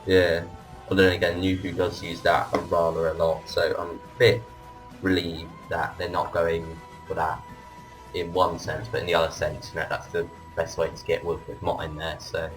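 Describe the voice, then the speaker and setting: A man's voice: low-pitched, completely flat delivery, moderate pace, faint music behind, rough recording. A man hosting a casual fan discussion, with hesitant, hedging phrasing. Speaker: podcast host. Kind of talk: casual fan discussion of audio drama releases.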